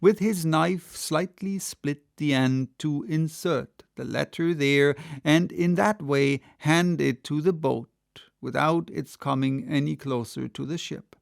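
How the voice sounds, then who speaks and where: Measured, clear-voiced man narrating an audiobook. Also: fairly high pitch, no echo, clean studio sound. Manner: measured, dramatic storytelling. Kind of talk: scripted literary narration.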